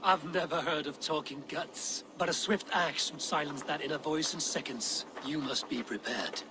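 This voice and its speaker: An actor playing a bold, blunt Viking warrior who goads and mentors with wry command in a video game cutscene. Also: male voice, fairly high pitch, moderate pace, background noise, natural voice. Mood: stern, wry.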